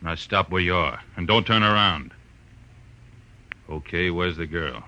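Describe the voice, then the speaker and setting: Menacing heavyset crook, deep thick voice, extremely sharp attack, vintage radio style. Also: male voice, measured pace, lo-fi sound, exaggerated voice. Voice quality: thick, soft-bodied voice. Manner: bullying, terse, calls people buddy. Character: menacing, soft, fat, bald male crook with gun. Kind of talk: 1940s radio acting.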